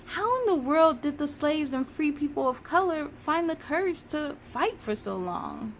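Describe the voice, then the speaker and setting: Woman playing a curious storybook pupil around twelve, high voice, eager and wondering, slight background noise. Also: big pitch swings, moderate pace. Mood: curious, impressed. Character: curious schoolchildren of about twelve, eager and impressed. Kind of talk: children's storybook dialogue.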